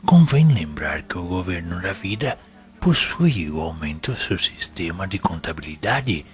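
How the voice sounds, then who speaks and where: Actor as a deep, slow, solemn spirit elder. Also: male voice, big pitch swings. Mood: didactic, solemn. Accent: Brazilian. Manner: solemn, gentle, measured, paternal. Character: male spirit minister, humble, wise, fatherly teacher. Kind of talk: Brazilian audio drama acting. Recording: voice over background music.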